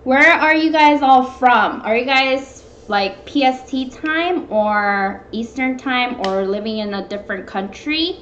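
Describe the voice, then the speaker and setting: A young woman with a high voice talks at an unhurried, slow pace, hosting a casual live cooking stream. She wanders informally through her chat, and the sound is echoey, as from a webcam across a table at home. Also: expressive, fairly bright tone, slight background noise. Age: young adult. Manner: informal, meandering, greeting viewers. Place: small home room, indoors at a table. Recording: echoey, dull webcam stream, background music.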